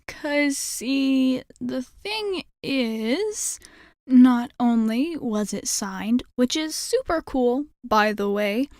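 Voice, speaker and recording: A woman's voice: sits high, expressive, drawn-out words, darkish tone, dry recording, clean studio sound, heavily acted. A woman playing a sporty schoolgirl in an ASMR roleplay, breezy and blunt yet sheepish, rambling casually and backtracking hesitantly. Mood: friendly, rambling. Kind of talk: ASMR roleplay acting, one-sided dialogue.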